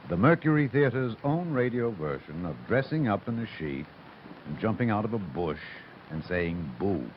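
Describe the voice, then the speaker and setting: Old-time radio host guy, deep deep voice, theatrical and measured, muffled sound. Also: big pitch swings. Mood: wry solemn. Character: urbane theatrical radio host addressing his listeners. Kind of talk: 1930s radio announcing. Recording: dull, faintly noisy broadcast sound.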